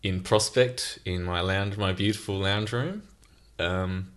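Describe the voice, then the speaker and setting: Deep-voiced young man, cheeky and giggly. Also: slow. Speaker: guest. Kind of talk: casual chat. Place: lounge room at home.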